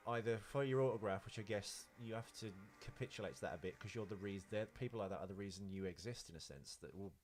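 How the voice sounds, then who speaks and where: Guy with a lowish voice just rambling on a podcast, swears a bit, some noise behind him. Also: fast talker, few pauses. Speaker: podcast co-host. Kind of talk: casual chat about films and celebrities.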